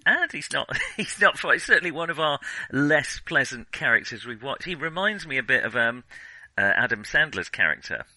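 Bright, animated male podcast host. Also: medium pitch, moderate pace, no room sound. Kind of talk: casual film discussion.

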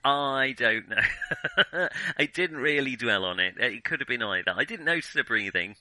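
A man's voice: mid-range voice, animated, normal speed, bright, forceful tone, no echo. A man co-hosting a casual film discussion, talking in a hesitant, rambling way and often correcting himself. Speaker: podcast co-host discussing films.

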